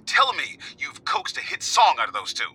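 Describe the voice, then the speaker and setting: Menacing, impatient boss: rough voice, high-ish and forceful, curt, for audio comedy. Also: male voice, wildly swooping pitch, moderate pace, full character voice. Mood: impatient, scornful. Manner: curt, contemptuous, emphatic. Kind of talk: comedic audio drama acting.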